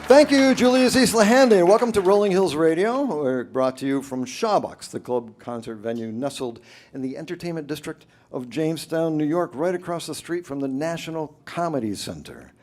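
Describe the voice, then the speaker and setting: A man hosting a radio show, introducing a live concert from a club stage as an upbeat emcee who pauses between phrases. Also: on the high side, animated, darkish tone.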